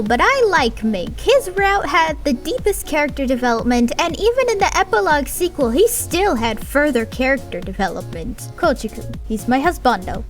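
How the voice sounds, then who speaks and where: High-voiced blunt woman reviewer. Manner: blunt, profane, sarcastic. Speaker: anime reviewer. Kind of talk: casual video review.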